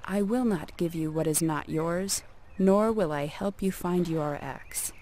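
A woman voicing a stern, composed goddess of a lake in a formal, measured storybook manner. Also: on the low side, dark tone, slight background noise, lightly acted.

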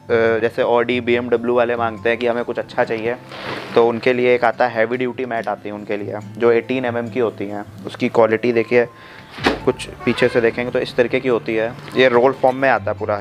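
A man in his thirties, a wholesale supplier, walking through his warehouse and listing products in a matter-of-fact way. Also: medium pitch. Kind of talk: product walkthrough. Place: indoor warehouse.

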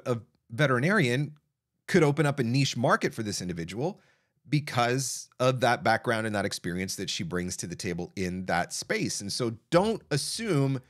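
A man in his thirties hosting a live voiceover advice stream, answering chat questions in a conversational way with fillers and restarts, close to a studio mic in a small home studio. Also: mid-range voice. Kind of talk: casual advice livestream. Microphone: broadcast mic on arm, close.

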